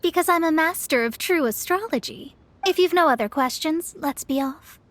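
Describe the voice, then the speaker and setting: A woman's voice: sits high, lively intonation, normal speed, fairly bright tone. A woman playing a proud young female astrologist in a video game, with pompous, formal and self-important speech. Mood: proud, explaining. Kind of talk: video game character acting.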